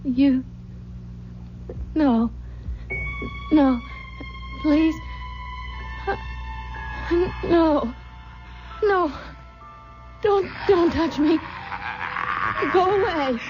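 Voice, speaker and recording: A woman acting a sensitive young bride in an old-time radio drama, breathy, emotional and melodramatic. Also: high voice, very slow, pauses often, music in the background. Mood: terrified. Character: sensitive young bride, affectionate, haunted by terror. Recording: dull lo-fi radio recording.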